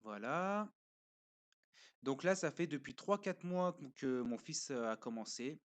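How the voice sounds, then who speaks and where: A man speaking casually as a parent reviewing a learning platform, pausing often with fillers and explaining step by step while he shows a screen. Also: a little high, lively intonation. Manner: hesitant, pauses often with fillers.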